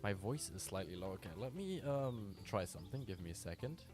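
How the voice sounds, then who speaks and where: Male gamer, deep voice, casual live-stream chatter, music bed behind. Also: brisk. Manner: relaxed, chatty, talks to viewers by name. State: tired from little sleep. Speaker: game streamer. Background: music playing.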